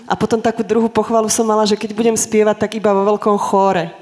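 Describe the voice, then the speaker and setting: Woman participant, brisk, wry and candid, mid-range voice. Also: mid-range voice, flat delivery, a little mellow. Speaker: workshop participant. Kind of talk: sharing a personal story.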